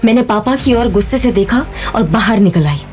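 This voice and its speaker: A woman's voice: a little high, animated, normal speed, a little mellow, soft background music, close to an everyday voice. A woman playing a defiant young mother who tells and acts her own story in an emotional audio drama style. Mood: grave narration. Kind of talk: first-person audio drama narration and acting.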